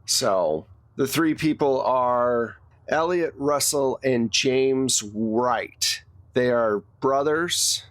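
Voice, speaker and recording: A man's voice: medium pitch, very slow, dry recording. A man runs a tabletop roleplaying game, laying out scenes in a conversational, informal way. He explains details as a game master would. The sound is dry, with little room around the voice.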